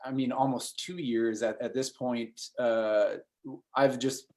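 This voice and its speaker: A man of forty hosting a casual interview, talking haltingly with many restarts. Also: mid-range voice, fairly even intonation, darkish tone. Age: 40s. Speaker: host.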